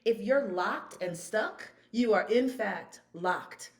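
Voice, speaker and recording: A woman's voice: mid-range voice, animated, slow pace. A woman in her fifties speaking as a podcast guest, emphatic and storytelling, full of rhetorical questions. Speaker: guest, consultant. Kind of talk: podcast conversation.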